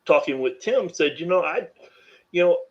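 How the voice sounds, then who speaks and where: Deep-voiced man, reflective and candid. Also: lively intonation, normal speed, highs cut off. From North Carolina. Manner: reflective, many "you know" fillers. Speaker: podcast guest. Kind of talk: candid interview conversation.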